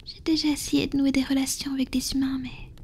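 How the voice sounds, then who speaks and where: A woman voicing an ancient, powerful female vampire and tender lover in a French ASMR romance roleplay, with a soft breathy whisper and intimate, halting delivery. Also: high-pitched, level tone, slight background noise. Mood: wistful, confiding. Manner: slow, intimate, halting with pauses.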